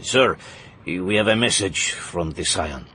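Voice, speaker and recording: An actor playing a dutiful, formal aide reporting to a South American dictator in an audiobook drama. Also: male voice, low-pitched, lively intonation, slow pace, bright, forceful tone, slight background noise, exaggerated voice. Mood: deferential. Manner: deferential, formal.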